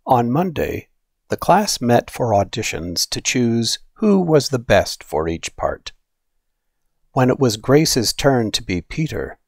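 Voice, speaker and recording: Guy reading kids picture book out loud, slow and animated, dry close sound. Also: mid-range voice, dry recording. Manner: deliberate, animated storytelling. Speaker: storybook reader. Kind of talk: expressive read-aloud of a children's story.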